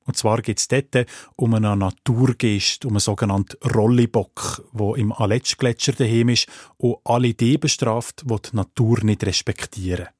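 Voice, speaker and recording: A man's voice: fairly low pitch, fairly even intonation, moderate pace, a little mellow, dry recording, studio quality. A man with a Swiss German accent reading scripted narration as a radio reporter.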